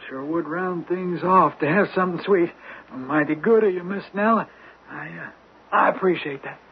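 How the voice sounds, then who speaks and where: A man's voice: high voice, normal speed, creaky. A man in a radio drama playing a folksy, rambling American detective who poses as an affable hunter. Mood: nervously friendly. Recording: thin phone-like old radio, noisy.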